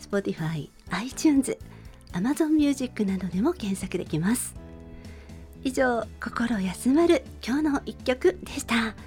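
A middle-aged woman hosting a radio show, talking in a soft-spoken way as she introduces a song. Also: fairly high pitch, sing-song, slow, faint music behind.

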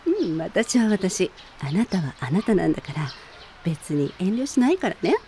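A woman's voice: on the low side, big pitch swings, moderate pace. A woman playing a lovelorn, proud woman who clings to her lover in an amateur romantic radio drama. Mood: proud, teasing. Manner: wistful, putting on a brave front.

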